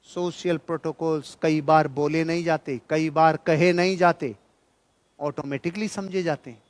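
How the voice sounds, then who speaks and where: A man delivers a motivational talk in a fairly high voice with a very dry sound. He is emphatic and admonishing, and he repeats key phrases to drive them home. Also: no room sound. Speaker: motivational speaker. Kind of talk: motivational speech, preaching to an audience.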